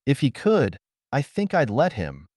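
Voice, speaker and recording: A man's voice: mid-range voice, wildly swooping pitch, measured pace, a little mellow, no room sound, studio quality, pitched above the performer's own voice. A man voicing a sweetly taunting, starry-eyed woman in an audiobook story.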